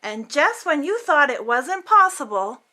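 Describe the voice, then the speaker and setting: A woman's voice: high voice, sing-song, unhurried, bright, forceful tone. A woman reading a children's picture book aloud as host, theatrically, drawing out words for emphasis.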